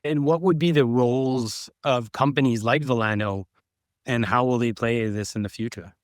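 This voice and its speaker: Smooth-voiced male interview host. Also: medium pitch, moderate pace, dry recording, clean studio sound. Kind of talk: formal interview questioning.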